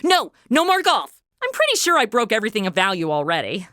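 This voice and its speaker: A woman playing a brash, excitable, chatty young woman who hosts a comedy audio drama. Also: a little high, quick pace, no room sound, heavily acted. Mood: coaxing. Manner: exclamatory, chatty, teasing. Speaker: show's lead and host.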